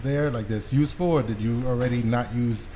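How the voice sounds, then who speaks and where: A man's voice: mid-range voice, a little fast, warm tone, a little hiss or hum. A man hosting a podcast in casual interview chat, with irreverent, loose banter. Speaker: podcast host.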